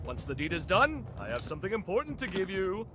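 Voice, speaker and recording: Game role: pompous eccentric scientist, high male voice, wildly animated intonation, mannered and patronising, over a musical score. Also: a little fast, over loud music. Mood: gracious, satisfied. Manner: pompous, florid, condescending, theatrically polite. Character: eccentric, self-important revenant scientist, a theatrical lecturer. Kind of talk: video game cutscene acting.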